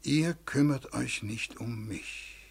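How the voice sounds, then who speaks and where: An actor in a 1940s radio play as God, a feeble, sorrowful old man with a soft, plaintive voice. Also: medium pitch, slow, full character voice. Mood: lamenting. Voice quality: soft, husky. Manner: whining, plaintive.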